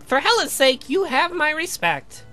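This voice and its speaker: Woman acting stern old vampire patriarch, high strained pitch, imperious, formal, protective, game character over music. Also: very animated, bright, forceful tone, full character voice. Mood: formal, solemn. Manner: formal, imperious, measured speech. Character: vampire sea captain, stern protective great-grandfather, formal old master.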